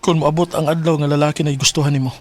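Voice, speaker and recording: A man's voice: on the high side, level tone, racing, darkish tone, close to an everyday voice. A man acting an earnest, plaintive young boyfriend in a radio drama, with a Cebuano Filipino accent. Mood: heartbroken, resigned.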